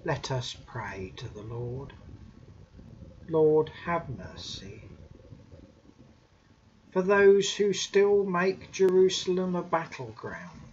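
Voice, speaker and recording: A man in his fifties leading formal prayers in a solemn, measured manner with deliberate pauses. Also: fairly high pitch, darkish tone. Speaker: intercessor leading prayers in a church service. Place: domestic room, indoors. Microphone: webcam or laptop microphone. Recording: muffled webcam audio with background noise.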